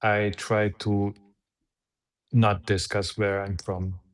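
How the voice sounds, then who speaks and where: Slow, flat-voiced man. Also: fairly low pitch. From Hungary. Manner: measured, halting, frequent restarts. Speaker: guest, PR agency head. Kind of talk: conversational interview answers.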